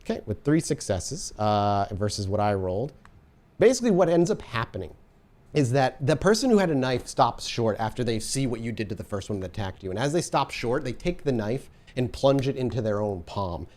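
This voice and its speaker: Man narrating a dark tabletop scene. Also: mid-range voice, big pitch swings, a little fast. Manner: vivid scene narration mixed with patient rules explanations. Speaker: storyteller, game master. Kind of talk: narrating a tabletop horror game.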